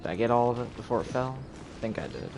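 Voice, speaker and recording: A man's voice: medium pitch, expressive, fast talker, noisy background. A man giving casual live commentary on a video game, thinking aloud as he plays. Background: video game music.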